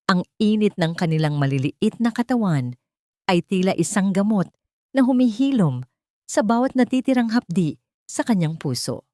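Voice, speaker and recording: A woman's voice: mid-range voice, dark tone, dry recording, studio quality. A woman reading a scripted dramatic story as its narrator.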